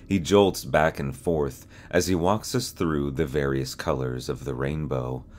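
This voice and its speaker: Very deep-voiced man narrating ominously. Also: fairly even intonation, unhurried, a little mellow, a little hiss or hum. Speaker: host and narrator of a horror explainer channel. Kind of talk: scripted narration.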